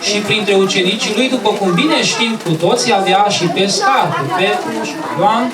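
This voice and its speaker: A woman hosting a children's event, telling a story didactically, repeating phrases and checking that listeners follow. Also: medium pitch. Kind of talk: live Bible storytelling to children. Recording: echoey, distant, very noisy crowd recording.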